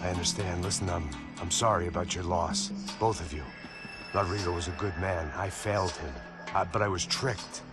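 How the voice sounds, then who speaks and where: An actor playing a washed-up middle-aged American ex-cop, narrating in a weary, self-deprecating, hard-boiled noir manner. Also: male voice, low voice, fairly even intonation, moderate pace, natural voice. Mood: earnest, pleading. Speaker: protagonist and narrator. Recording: loud music behind the voice.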